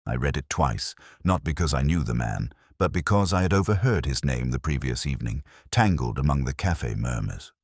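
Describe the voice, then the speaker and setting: Man playing a very deep-voiced, brooding older detective. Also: lively intonation, husky, no room sound, studio quality, full character voice. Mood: brooding, reflective. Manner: measured, brooding, deliberate. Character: melancholy older male English police detective narrating his case. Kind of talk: scripted literary mystery narration, radio drama style.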